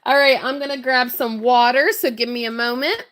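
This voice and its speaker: A woman with a hoarse, worn voice, chatting casually and greeting viewers while crafting on a livestream. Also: on the high side, moderate pace, fairly bright tone. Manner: chatty, upbeat, laughs often. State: hoarse, voice strained from hours of talking. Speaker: livestream crafter and shop owner.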